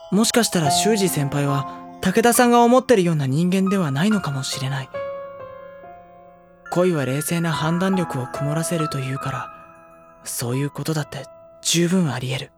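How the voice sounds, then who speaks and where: With music behind him, a man plays an earnest teenage boy for an audio drama. He is soft-spoken and polite, a worrier with a gentle temper. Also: a little high, normal speed, lightly acted. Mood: pensive. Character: gentle, earnest, worrying high-school boy. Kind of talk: Japanese audio drama acting with introspective narration.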